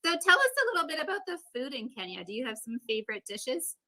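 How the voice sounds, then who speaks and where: Library host, woman, higher voice, short questions and quick encouraging replies. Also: very animated, bright, forceful tone. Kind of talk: casual interview.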